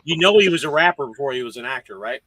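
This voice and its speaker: Fast, bright-voiced joking man. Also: medium pitch, lively intonation, slight background noise, low-quality recording. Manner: joking, ribbing. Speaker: fellow truck driver. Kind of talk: casual group chat on a call.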